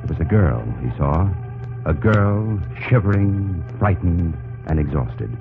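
Male radio-drama narrator: low, sweeping, solemn delivery over loud music on a muffled vintage recording. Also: animated, a little slow. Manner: solemn, declamatory.

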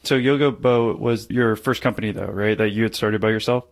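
A man's voice: on the low side, steady tone, brisk. A man hosting an interview podcast who asks curious questions in a casual way. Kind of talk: conversational interview.

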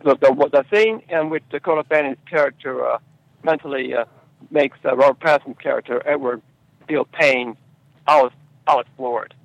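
Male screenwriter guest, halting delivery with fry, muffled low-fidelity recording. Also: no echo. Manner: halting, repeats words and phrases. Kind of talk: conversational interview answers.

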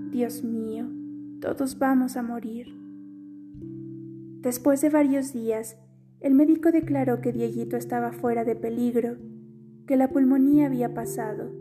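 A woman reading a literary letter aloud in a soft, slow, wistful way. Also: fairly high pitch. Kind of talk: scripted audiobook reading.

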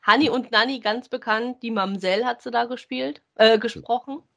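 A woman's voice: fairly high pitch, moderate pace, vocal fry. Woman, casual podcast co-host. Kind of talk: casual review chat.

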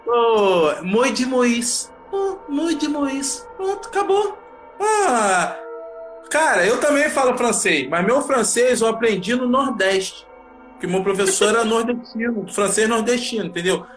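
A man's voice: very high voice, expressive, a little slow, a bit bright, faint music behind. A man co-hosting a casual live chat, joking around and talking in a playful, slangy way.

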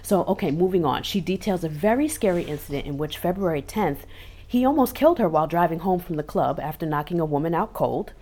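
A woman's voice: on the low side, animated, fast talker, dark tone. A woman co-hosting a casual podcast, telling stories in a chatty way with emphatic asides. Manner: conversational storytelling, emphatic asides. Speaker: podcast co-host.

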